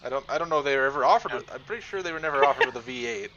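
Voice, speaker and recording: A man's voice: fairly high pitch, lively intonation, very fast, vocal fry, lo-fi sound, crowd noise. A man with a Southern Ontario Canadian accent, chatting casually and joking while he streams a farming game and talks to his chat. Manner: chatty, joking, trails off and restarts.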